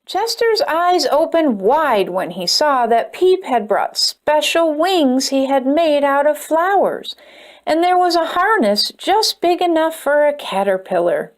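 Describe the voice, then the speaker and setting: A woman reading a children's picture book aloud as storyteller, expressive and soothing. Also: high-pitched, a little slow.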